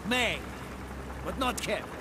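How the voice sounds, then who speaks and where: A commanding Russian officer speaks in a very high register for a man. He is forceful, clipped and distrustful, in a game cutscene thick with loud rain and engine noise. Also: expressive, slow pace, creaky, full character voice. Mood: grim, wary. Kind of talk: dramatic game cutscene acting.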